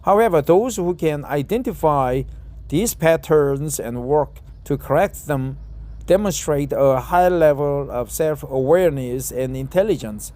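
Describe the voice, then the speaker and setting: A man's voice: fairly high pitch, a little slow, mellow. A middle-aged Korean man, a non-native speaker, reading an article aloud in English with a Korean accent, hesitating with many fillers and self-corrections. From South Korea. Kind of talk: casual self-recorded English reading practice.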